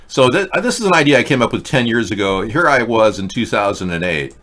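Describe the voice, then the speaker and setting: A man hosting a political talk show, pitching ideas in an earnest, rambling monologue. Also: animated, fast talker, a bit bright. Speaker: host.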